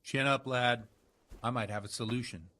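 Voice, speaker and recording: An actor playing a worldly, roguish village priest with a theatrical streak in a medieval video game. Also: male voice, medium pitch, fairly even intonation, moderate pace, pushed and bright, natural voice. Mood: frank, reassuring. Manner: conversational, theatrical when preaching. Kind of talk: dramatic video game dialogue.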